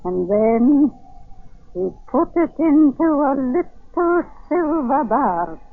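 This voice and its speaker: An actress playing a crusty, scornful old great aunt who spins mysterious tales in a 1940s radio drama. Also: female voice, high voice, sing-song, takes it slowly, full character voice. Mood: mysterious, confiding. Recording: tinny, narrow 1940s radio recording.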